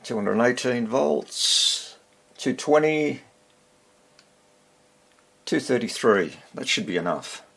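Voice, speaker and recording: A man giving casual, unscripted hands-on repair commentary, thinking aloud in short fragments with a husky, gravelly voice. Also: mid-range voice, lively intonation, slow pace, pauses often, a bit bright. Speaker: hobbyist radio repairer demonstrating on video.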